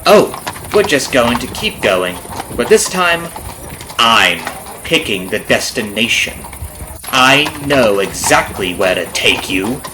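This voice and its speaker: Man playing a taunting, vengeful carriage driver, swooping pitch. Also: big pitch swings, takes it slowly, noisy background. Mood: quietly menacing. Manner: taunting, conversational with rhetorical tag questions. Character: vengeful male carriage driver, friendly surface turning coldly menacing. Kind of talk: ASMR roleplay monologue. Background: clip-clopping horse and carriage ambience.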